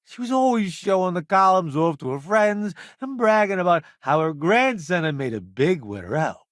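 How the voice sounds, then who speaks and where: A man's voice: sits high, very animated, moderate pace, no room sound, studio quality. A man voicing a vain, wisecracking, cowardly food-columnist sidekick in a space video game, with a breezy, theatrical, boastful delivery. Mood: casual, wry. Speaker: companion character in a space game. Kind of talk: animated video game voice acting.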